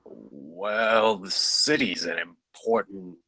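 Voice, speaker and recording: A man at a script table read, playing a forceful, easily exasperated project executive. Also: deep voice, animated, slow, dry recording, heavily acted, rough recording. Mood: assertive.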